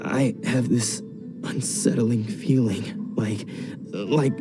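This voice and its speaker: A man's voice: mid-range voice, fairly even intonation, slow pace, lightly acted. A man playing a downtrodden, weary samurai in a video game, defensive and hesitant. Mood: troubled. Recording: music under the voice. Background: game music.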